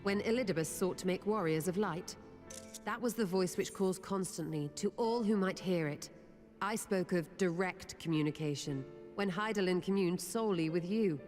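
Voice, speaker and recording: A woman's voice: fairly low pitch, normal speed, a little mellow, close to an everyday voice. A woman playing a composed, exacting scholar mage in a fantasy game, speaking formally, precisely and with measure. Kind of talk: scripted fantasy game dialogue. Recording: long reverb, faint music.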